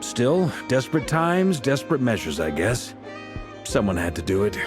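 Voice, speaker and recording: An actor playing a relaxed, friendly veteran knight leader with a wry manner in a game cutscene. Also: male voice, medium pitch, normal speed, lightly acted. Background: game soundtrack music.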